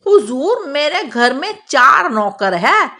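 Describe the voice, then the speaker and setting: A woman plays a troubled, respectful petitioner in a moral cartoon. The high voice moves with lively, wide intonation. Each plea is slow, careful and deferential. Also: exaggerated voice. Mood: worried, pleading. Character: respectful, worried male complainant at royal court. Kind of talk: Hindi children's moral cartoon dubbing.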